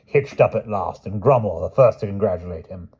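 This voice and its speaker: A man's voice: fairly low pitch, fairly quick, breathy edge, muffled recording. A man voicing a breezy, hearty older knight with a jovial manner.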